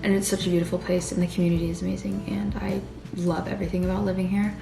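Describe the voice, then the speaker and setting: Low-voiced young woman chatting. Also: level tone, rapid-fire, gravelly. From California. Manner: rambling, many fillers and restarts. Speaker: vlogger. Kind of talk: casual chat. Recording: roomy sound with background music.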